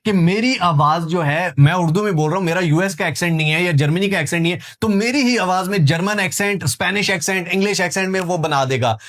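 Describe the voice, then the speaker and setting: Male tutorial host, Pakistani accent, high-pitched voice, informal and emphatic, lots of rhetorical questions. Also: fast, few pauses, fairly bright tone. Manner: emphatic, repetitive, full of rhetorical questions and check-ins. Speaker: online skills tutor and video host. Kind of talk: informal tutorial narration, walking viewers through websites.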